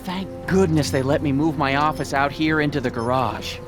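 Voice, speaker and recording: A man's voice: on the high side, normal speed, over music, close to an everyday voice. An actor voicing a nerdy, self-important middle-aged American father, fussy and officious, in a video game. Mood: earnest.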